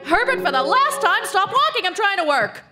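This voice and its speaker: A woman's voice: very high-pitched, big pitch swings, normal speed, over loud music. A woman playing a vain, bossy romance author and nagging wife in a live radio drama, theatrical and self-important. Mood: bossy, irritated.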